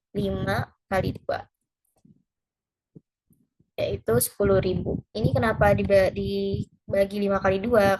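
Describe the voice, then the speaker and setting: A woman's voice: mid-range voice, slight background noise, poor audio. A young woman giving an informal tutorial, conversational and a little hesitant, mixing in English terms. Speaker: presenter explaining an accounting lesson.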